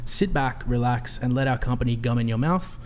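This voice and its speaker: A man's voice: mid-range voice, fairly quick, crowd noise. A man in his thirties, a panel guest playfully reading out an ad script for his own brand. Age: 30s. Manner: playful salesman, jokey read of an ad script.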